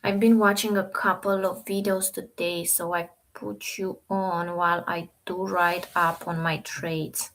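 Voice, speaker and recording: A woman's voice: lowish voice, little pitch movement, measured pace, hardly any pauses, a bit bright, rough recording. A woman from Romania hosting a trading live stream, chatting casually with viewers and thinking aloud over the charts.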